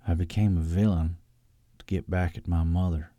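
A man voicing a timid, needy grown son in a comic story, speaking softly and hesitantly. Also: sits low, fairly even intonation, moderate pace, no echo, pitched below the performer's own voice, natural voice. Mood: confessing. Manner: soft, hesitant, stammering.

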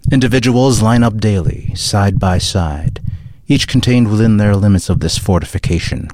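A man performing a grave, declamatory social critic in a scripted dramatic monologue, with deliberate, solemn phrasing. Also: lowish voice, warm tone, no room sound, lightly acted. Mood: grave, admonishing. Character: grave male orator condemning society's closed minds. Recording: clearly noisy recording with clicks.

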